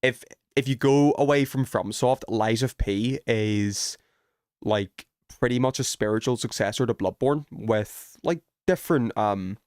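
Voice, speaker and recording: Male game streamer, lower register, dry studio-close sound; loose, rambling, self-deprecating delivery with casual swearing. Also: darkish tone, no echo. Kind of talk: casual chat while streaming gameplay.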